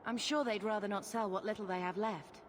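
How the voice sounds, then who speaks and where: An actress playing an earnest, gentle, practical young sister in a video game. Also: female voice, medium pitch, steady tone, a little fast, lightly acted. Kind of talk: video game cutscene acting. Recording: clear background ambience noise.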